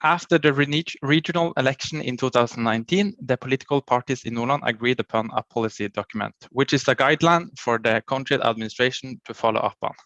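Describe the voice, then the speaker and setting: A man, a county education official reading a prepared conference presentation in a formal, halting way and often cutting off and restarting words. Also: medium pitch, fairly bright tone, no room sound, dull audio.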